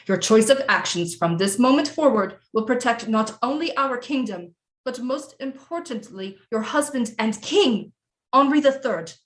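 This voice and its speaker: A woman acting as a commanding, scheming Renaissance queen mother in an audio drama, with formal, deliberate delivery. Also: fairly high pitch, lively intonation, natural voice. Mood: commanding, instructing. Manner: imperious, formal, deliberate diction.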